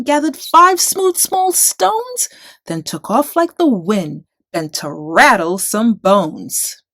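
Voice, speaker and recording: A woman's voice: mid-range voice, fairly bright tone, no echo. A woman storyteller reading a rhyming children's book aloud expressively.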